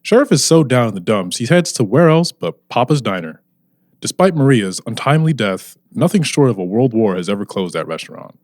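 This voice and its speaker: Animated male story narrator, dry recording. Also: medium pitch, fairly quick, no room sound.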